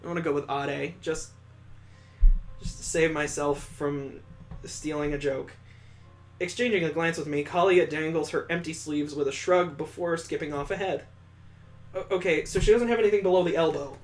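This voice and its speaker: A man's voice: fairly high pitch, steady tone, normal speed, soft background music. A man reading a visual novel aloud as host of a gaming video, breaking off into wry, jokey asides. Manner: wry, jokey, wanders off into side comments.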